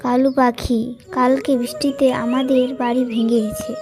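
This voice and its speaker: A woman's voice: high voice, little pitch movement, moderate pace, over music, lightly acted. A woman voicing a poor, anxious mother bird in a cartoon, with a pleading, plaintive manner. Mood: worried. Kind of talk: cartoon character dialogue.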